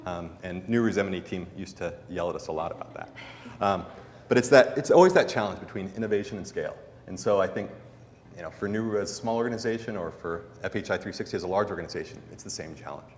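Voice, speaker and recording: Fast-talking deep-voiced man panelist. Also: slight background noise. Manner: casual, rambling. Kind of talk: panel discussion answer. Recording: hall reverb with faint ambience.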